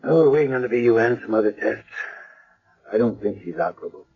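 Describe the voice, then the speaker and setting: An actor playing a gruff but kindly, world-weary senior doctor in a 1970s radio drama, offhand and dryly clinical. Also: male voice, medium pitch, fast. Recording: dull lo-fi old radio broadcast.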